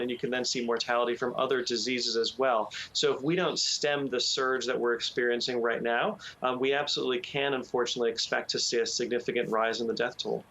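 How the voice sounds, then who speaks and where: A man being interviewed on the news as a public health expert, explaining in a measured way with frequent ums and you knows. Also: mid-range voice, a bit bright.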